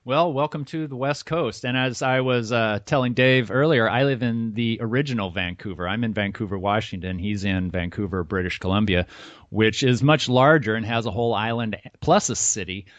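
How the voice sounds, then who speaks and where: A man's voice: lowish voice, moderate pace, no echo. A man hosting an interview podcast, friendly and a little rambling as he asks his questions. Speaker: podcast host. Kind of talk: casual interview conversation. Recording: dull, dry sound.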